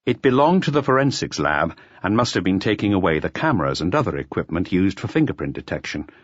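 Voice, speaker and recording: Male detective audiobook narrator: lowish, animated, slightly rough tone, dry and somewhat muffled recording. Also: fast talker, no echo. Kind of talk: scripted narration of a detective novel.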